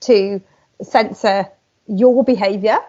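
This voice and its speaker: A woman's voice: mid-range voice, steady tone, slow, dark tone, muffled recording. A woman hosting a conversational interview. She is affirming and hesitant, with many fillers. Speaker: interview host.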